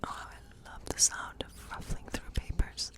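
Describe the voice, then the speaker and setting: Female ASMR performer as a friendly woodland witch: whispered throughout, breathy, whimsical, unhurried and musing. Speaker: ASMR performer playing a witch tarot reader. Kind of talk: whispered ASMR role play.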